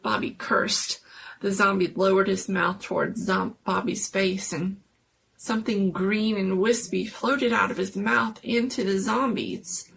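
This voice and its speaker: A woman's voice: medium pitch, steady tone, a little slow, creaky, a bit bright, a little hiss or hum. A woman giving a dramatic, suspenseful scripted narration of a scary story.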